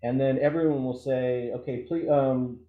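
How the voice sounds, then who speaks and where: A man giving an informal training presentation as an instructor, explaining things haltingly and thinking aloud through examples. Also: medium pitch, fairly even intonation. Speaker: emergency radio trainer. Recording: dull, roomy, echoey audio.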